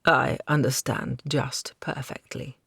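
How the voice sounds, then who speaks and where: A reader voicing a cold, haughty noble knight who speaks formally and with disdain. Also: male voice, medium pitch, level tone, slow pace, gravelly, a bit bright, husky, dry recording, studio quality, full character voice. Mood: disdainful.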